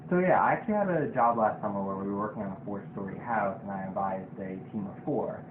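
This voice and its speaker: Young male candidate, talkative, fast, tinny and roomy recording. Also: fairly low pitch. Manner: talkative, uses "you know" often. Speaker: job candidate in a role-play. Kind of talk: casual role-play. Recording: tinny, noisy, echoey.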